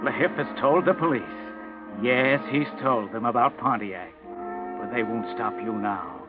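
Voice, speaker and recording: Guy acting old time radio mystery narrator, ominous and needling, tinny 1940s sound, loud music under it. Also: medium pitch, a little slow, close to an everyday voice. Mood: taunting suspense. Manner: taunting, urgent, suspenseful. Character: ominous, taunting male narrator of a crime tale.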